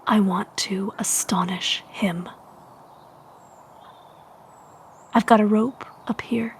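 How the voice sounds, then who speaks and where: An actress playing a confined young wife sliding into obsessive madness, confiding in hushed and singsong tones in a found-footage audio drama. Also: female voice, on the high side, breathy edge. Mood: secretive, determined. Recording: noisy lost-tape recording.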